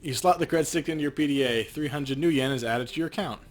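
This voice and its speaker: A man's voice: on the high side, fast, soft background music. A man co-hosting a let's play, reading game text like a narrator with casual asides.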